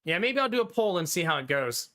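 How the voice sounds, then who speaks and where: A young adult man streaming live, chatting casually with his viewers, animated and talkative, often laughing. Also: high voice, quick pace.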